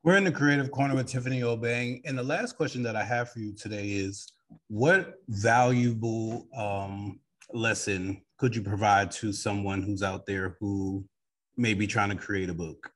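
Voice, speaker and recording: A man with a fairly low voice hosts a casual interview show. He speaks conversationally as he introduces his guest and asks questions. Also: steady tone, normal speed.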